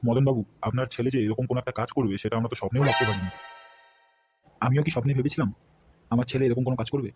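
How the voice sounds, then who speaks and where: A man's voice: medium pitch, steady tone, rapid-fire, long pauses, a little mellow, close to an everyday voice. A man voicing stern, scolding village elders and a headman in a comedy cartoon. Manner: scolding, grave and abusive. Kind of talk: Bengali comedy cartoon dubbing. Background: background music.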